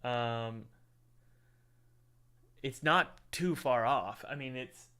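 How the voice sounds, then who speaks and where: A man's voice: mid-range voice, drawn-out words, bright, forceful tone, clear, resonant tone. A man hosting a casual livestream, rambling and repeating himself, sounding stuffy like he has a head cold. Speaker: livestream host reviewing indie games. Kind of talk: casual livestream chat with ad read. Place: home room, window open, cold.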